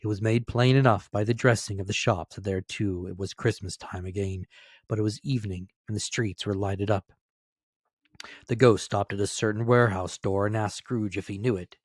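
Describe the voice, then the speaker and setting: A man with a fairly low voice narrates an old storybook tale dramatically. The recording is close and dry, with very little room sound. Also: steady tone, normal speed, dry recording.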